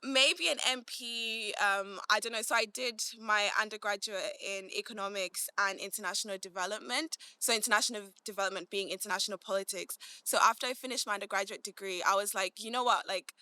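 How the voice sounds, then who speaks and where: A woman's voice: mid-range voice, a little fast, hardly any pauses, bright, forceful tone, smooth, no echo. A young British woman chatting informally as an interview guest, often dropping "like" into her sentences. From grew up in Manchester, England; born in Malawi. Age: young adult. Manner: chatty, informal, peppers speech with "like". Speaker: podcast guest, commercial analyst. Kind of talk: casual conversational interview answers.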